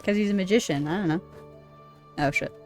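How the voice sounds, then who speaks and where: A woman's voice: lowish voice, brisk. A woman streaming a game with casual, sweary commentary, musing aloud and poking fun at herself. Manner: sweary, self-deprecating, musing. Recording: game music behind the voice. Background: game music.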